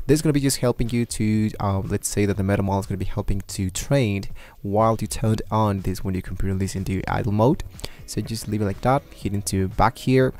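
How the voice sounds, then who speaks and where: A man walking viewers through software on his screen, talking loosely with many fillers and restarts. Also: on the low side, brisk. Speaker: tutorial host. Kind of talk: screen-recorded software tutorial. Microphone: desktop microphone at a computer.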